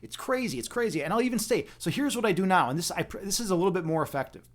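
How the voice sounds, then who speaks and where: A man's voice: high voice, expressive, quick pace. Chatty man explaining chess moves. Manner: rambling, with frequent fillers and tag questions like "right?". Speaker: chess teacher and livestream host. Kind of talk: casual livestream chat and chess explanation.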